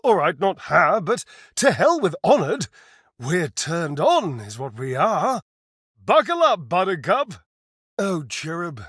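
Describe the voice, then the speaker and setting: A man's voice: sits high, a bit bright, breathy edge, dry recording, studio quality, exaggerated voice. A man voicing a theatrical, grandiose old-fashioned acrobat showman in a video game. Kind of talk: video game character acting.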